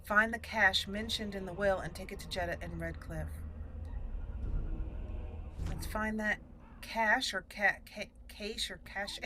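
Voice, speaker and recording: A woman's voice: medium pitch, fairly even intonation, unhurried, pauses often, pushed and bright, a little hiss or hum. A woman casually commenting on her role-playing game as she plays, thinking aloud and reading out the game's text. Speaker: let's play host.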